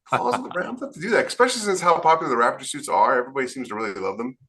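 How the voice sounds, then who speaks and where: A man's voice: medium pitch, racing, pushed and bright, breathy edge. A man co-hosting a podcast as a science fiction author, chatting casually and enthusiastically in rambling explanations. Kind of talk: casual panel chat.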